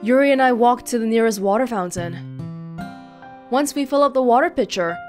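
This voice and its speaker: High-voiced woman reading a game aloud. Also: moderate pace. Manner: expressive, acting out dialogue. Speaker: let's play host. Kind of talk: reading a visual novel aloud with reactions. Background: soft game music with guitar.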